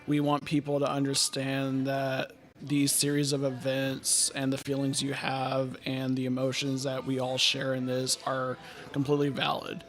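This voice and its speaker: A young man talks as a guest about mental health work. His voice stays very flat, with almost no rise and fall, and his delivery is earnest and measured. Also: mid-range voice, a little hiss or hum. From Texas.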